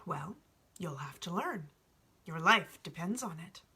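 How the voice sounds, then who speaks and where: A wise male alchemist from a storybook, read by a woman. He is calm and a little cheeky. His delivery is hushed and measured, like a mentor gently instructing. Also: fairly low pitch, husky, full character voice. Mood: stern, instructive.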